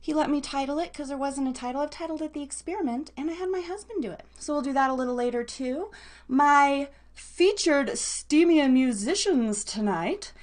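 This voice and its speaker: High-voiced American woman hosting casually. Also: animated, normal speed. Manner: bubbly, playful word coinages. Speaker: radio show host. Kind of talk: casual live podcast hosting.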